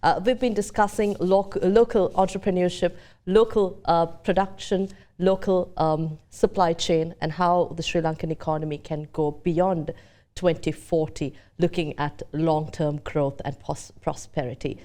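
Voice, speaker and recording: TV host, woman, low and mellow, steady scripted delivery. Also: unhurried. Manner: smooth, scripted sign-off. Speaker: television talk show host. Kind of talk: broadcast interview wrap-up. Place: television studio.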